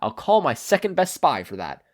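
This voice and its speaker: A man's voice: on the high side, huge pitch swings, normal speed, pitched above the performer's own voice. A man voicing a supervillain mastermind in frantic denial, nervously stammering and reassuring himself in a fan fiction reading. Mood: nervous scheming.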